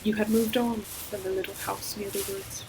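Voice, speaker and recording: A woman performing an ancient female forest demon in an audio roleplay, solemn, poetic and deliberate, a tender and sorrowful protective old friend. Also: medium pitch, level tone, background noise, close to an everyday voice. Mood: mournful. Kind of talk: scripted audio roleplay monologue, slow dramatic storytelling. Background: ambient environmental sound effects.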